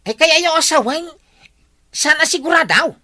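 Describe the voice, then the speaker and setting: A woman with an Ilocano accent playing a nosy, excitable, complaining villager in a radio comedy drama. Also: high voice, moderate pace, no echo, clean studio sound. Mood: excitable, curious.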